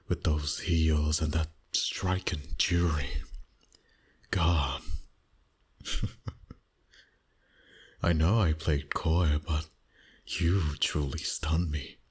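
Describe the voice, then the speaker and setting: Casting: man to play a flirty, commanding male vampire boss for ASMR roleplay; rock-bottom pitch, unhurried, teasing, half-whispered delivery. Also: full character voice. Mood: adoring, seductive. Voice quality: breathy, husky, often near a whisper. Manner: slow, teasing, flirtatious, dominant. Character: suave, dominant, flirty male vampire boss wooing his date. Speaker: voice actor.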